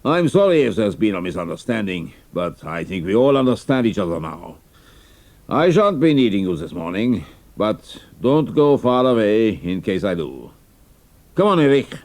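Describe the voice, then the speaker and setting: A man's voice: fairly low pitch, sing-song, moderate pace, a little mellow, no room sound, pitched above the performer's own voice. A man voicing an authoritative German count, a commanding officer who speaks brusquely in a dramatised wartime adventure story. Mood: brisk, conciliatory. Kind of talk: dramatised audiobook character dialogue.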